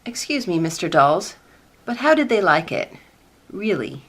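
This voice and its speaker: Female secretary played by an actress: lower-toned, steady, skeptical yet supportive, expressive intonation, radio sitcom. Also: a little slow, breathy edge, exaggerated voice. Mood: doubtful. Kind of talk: comedic radio play acting.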